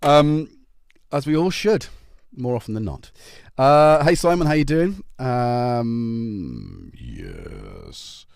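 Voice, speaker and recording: A man's voice: mid-range voice, very animated, takes it slowly, gravelly, a little mellow, no echo, clean studio sound. A middle-aged man from North Wales with a North Welsh accent, chatting casually with viewers on a livestream and joking as he greets them by name. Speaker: livestream host. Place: home.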